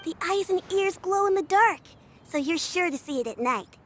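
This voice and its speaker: A woman's voice: very high-pitched, lively intonation, moderate pace, slight background noise. A woman voicing a cheerful, friendly young woman in a game. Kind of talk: game voice acting.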